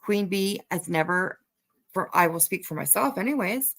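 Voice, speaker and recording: A woman's voice: fairly low pitch, animated, moderate pace, creaky, fairly bright tone. A woman hosting a casual livestream commentary, talking bluntly and emphatically, repeating phrases for emphasis and swearing casually. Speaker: livestream host.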